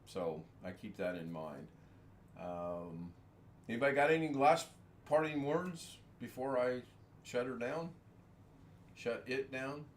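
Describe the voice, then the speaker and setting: A man hosting a casual home livestream, rambling from topic to topic, making fun of himself and chuckling often. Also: medium pitch. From United States. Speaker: livestream host. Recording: echoey room with faint ambience.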